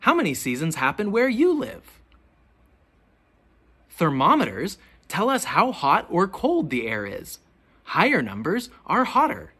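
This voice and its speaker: A teacher reading a children's picture book aloud, with expressive, deliberate questions pitched to young children. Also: husky. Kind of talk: children's storybook read-aloud.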